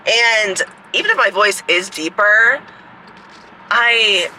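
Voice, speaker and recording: A woman in her mid-twenties with a deep, raspy voice, rambling casually to a phone camera outdoors, full of "like" fillers and swearing. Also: slow, sharp tone, slight background noise, low-quality recording. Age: 20s. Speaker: fitness coach and creator. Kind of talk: casual vlog talk to camera.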